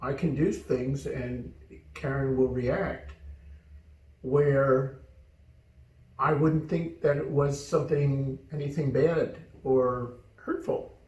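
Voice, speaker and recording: A man's voice: medium pitch, steady tone, slow, a little mellow. A man from the United States, co-hosting a casual chat show, joking and telling stories in a reflective way. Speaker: co-host. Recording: roomy sound, distant mic.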